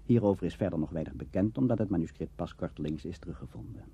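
A man's voice: low voice, lively intonation, quick pace, warm tone, slightly rough. A man with a Netherlands Dutch accent reading scripted narration for a documentary. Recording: dull, lo-fi radio recording.

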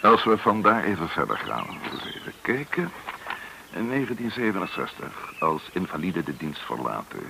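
An actor playing a courteous, evasive security service colonel, official in manner in a radio play. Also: male voice, low voice, big pitch swings, normal speed, heavily acted. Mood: businesslike. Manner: smooth-talking, official, politely evasive. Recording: noisy old radio play recording.

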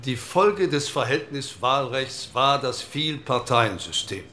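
An actor playing an earnest, combative conservative parliamentarian, speaking formally and emphatically in a dramatized debate. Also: male voice, medium pitch, animated, slow pace, pushed and bright, slight background noise. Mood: earnest, insistent.

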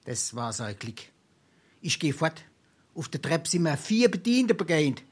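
A man's voice: fairly high pitch, very animated, unhurried, breathy edge, full character voice. A male actor with a Darmstadt Hessian accent playing a wily, boastful penniless scrounger in a dialect stage comedy, theatrical and full of dramatic hesitation. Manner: theatrical, braggartly, hesitating for effect.

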